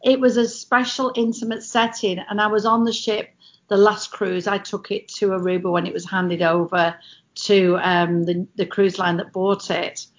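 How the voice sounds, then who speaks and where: A middle-aged English woman, a former cruise ship entertainer, telling lively stories in casual chat. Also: medium pitch, moderate pace, muffled recording. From England. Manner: lively storyteller, animated quoted voices.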